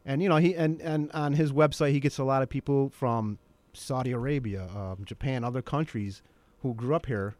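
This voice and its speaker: A middle-aged man chatting informally and a bit haltingly as a guest on a radio show. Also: medium pitch, fairly even intonation, a little mellow, dry recording. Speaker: interview guest, documentary maker. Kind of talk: casual radio chat.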